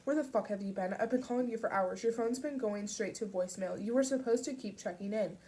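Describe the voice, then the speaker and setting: A woman's voice: medium pitch, normal speed, darkish tone, lightly acted. A woman voicing a young man who is angry at first, then worried and firm.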